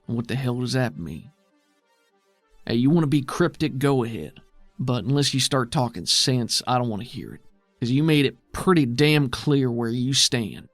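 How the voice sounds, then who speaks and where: A man playing a bitter, worried, steadfast man defending his underground city in a tabletop game, with gruff folksy speech. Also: medium pitch, normal speed, dry recording, soft background music, natural voice. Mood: bitter, indignant.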